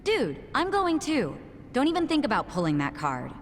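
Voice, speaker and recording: A woman's voice: sits high, huge pitch swings, moderate pace, slight background noise, heavily acted. An actress playing a sassy, blunt young woman in an English game dub, slangy and teasing. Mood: impatient. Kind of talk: English video game voice acting.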